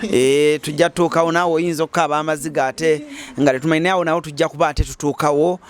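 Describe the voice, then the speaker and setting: A man's voice: on the high side, steady tone, fast. A man hosting an interview, firing off teasing questions. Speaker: host. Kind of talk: casual interview, mixing Luganda and English.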